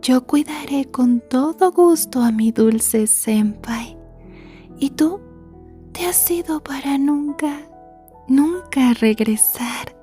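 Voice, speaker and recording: A woman's voice: high-pitched, slow pace, dark tone, faint music behind. A woman playing an obsessive, sweetly menacing yandere schoolgirl in an ASMR roleplay, murmuring with mock-polite menace. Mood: threatening.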